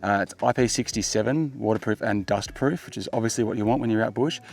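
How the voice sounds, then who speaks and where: Low-voiced Australian man reviewing gear. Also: fast talker, creaky, a little mellow, faint music behind. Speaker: tech vlogger, product reviewer. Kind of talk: casual product review to camera.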